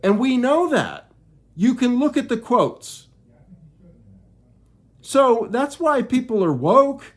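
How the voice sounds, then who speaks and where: A man with a high-pitched voice that swings widely as he speaks. He talks slowly and haltingly, doubling back on phrases in an informal, preachy monologue of personal opinion. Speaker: video creator.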